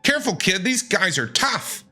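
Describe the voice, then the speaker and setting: Animated, high-pitched male game streamer. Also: normal speed, music in the background. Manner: playful, theatrical, talks back to characters. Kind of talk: gameplay reaction commentary.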